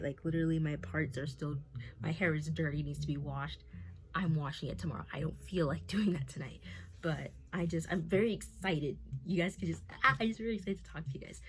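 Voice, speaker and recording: A young woman of about twenty, with a low-pitched voice, gives a candid update to her camera from home. She sounds nervous and rambles, falling back on fillers and restarting her sentences as she speaks openly about her life. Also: fairly quick, poor audio. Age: 20s. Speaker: vlogger.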